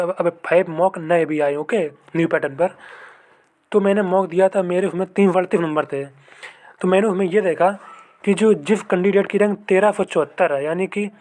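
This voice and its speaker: A young man explains informally to viewers, repeating himself and often checking in with an okay. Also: fairly high pitch. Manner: repetitive, addresses viewers as brothers, frequent "okay" check-ins. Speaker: exam-prep video host, fellow candidate. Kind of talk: informal explainer of mock test scores and cut-offs.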